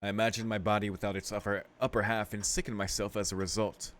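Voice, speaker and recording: A man with a fairly low voice reads visual novel text aloud for a let's play. He drifts between narration and casual, sweary asides, muttering his reactions as he goes. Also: level tone, fast, a bit bright. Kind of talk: casual gaming commentary mixed with read-aloud narration.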